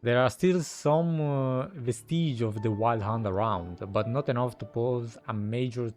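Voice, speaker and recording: A man's voice: mid-range voice, measured pace, a little mellow. A man giving casual, off-the-cuff reaction commentary as a gaming content creator. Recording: faint game music behind. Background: video game music.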